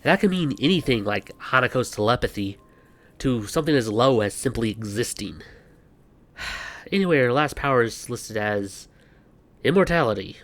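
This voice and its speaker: A man's voice: mid-range voice, animated, moderate pace, pauses often. A man hosting a scripted explainer with banter, speaking in a wry, sarcastic way with exasperated asides. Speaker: video host. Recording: music behind the voice. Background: background music.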